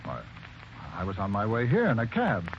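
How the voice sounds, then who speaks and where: An actor playing the victim's hesitant young son in a 1940s radio mystery. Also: male voice, fairly low pitch, wildly swooping pitch, moderate pace. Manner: hesitant, with fillers. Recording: dull old radio recording with hiss.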